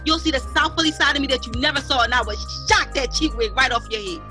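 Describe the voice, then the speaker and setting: A woman's voice: sits high, fast, music in the background, lightly acted. A woman playing a grieving, defiant younger sister, speaking with emotional indignation in an online dramatic play reading. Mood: fed up, angry. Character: Joy, grieving, defiant younger half-sister standing her ground.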